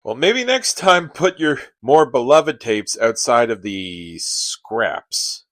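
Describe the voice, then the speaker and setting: Radio comedy: wry, skeptical male sidekick, played by an actor, unhurried, animated intonation, dry close recording. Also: medium pitch, no echo. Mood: teasing. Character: skeptical, reluctant male buddy, wry straight man. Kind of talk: comedic radio play acting.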